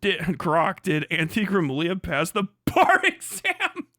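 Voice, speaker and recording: A man hosts a live stream and rants in a heated, profane way, breaking into laughter often. His voice is high and sharp, and it sounds close and dry. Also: huge pitch swings, measured pace, no echo. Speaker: streamer host.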